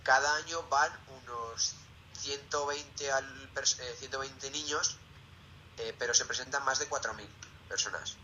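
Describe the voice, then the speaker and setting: A teenage male student with a Peninsular Spanish accent and a very bright, sharp voice. He answers questions in a casual, conversational way. There is some faint noise behind him. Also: medium pitch, measured pace, low-quality recording. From Zaragoza, Spain. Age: late teens. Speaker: interviewee, student.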